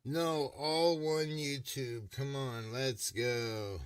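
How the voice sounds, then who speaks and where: A man's voice: medium pitch, fairly even intonation, clear, resonant tone, dry recording, distant mic. A man who is a guest on a livestream, chatting casually and teasing with profanity. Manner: teasing, profane, slow-spoken. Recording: dry voice, heard from off the mic.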